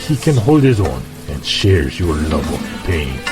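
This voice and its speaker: A man's voice: a bass voice, sing-song, a little slow, loud music behind the voice, heavily acted. An actor in an audio drama playing a deferential henchman who serves a brutal boss. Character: male henchman, deferential to boss, fond of pain.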